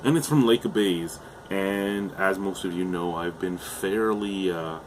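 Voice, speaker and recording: A man's voice: low-pitched, a little slow, a little hiss or hum, poor audio. A young man from Canada reviewing a beer in a casual, chatty way, losing his voice and often restarting his sentences with fillers.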